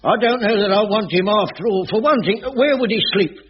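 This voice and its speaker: An actor playing a cowardly, panicky English caretaker in a 1980s radio sitcom, with a stammering, fretful delivery. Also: male voice, sits high, fairly quick, noisy background, natural voice. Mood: nervous, backtracking. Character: cowardly, panicky male caretaker of shabby flats. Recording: dull radio recording, studio audience.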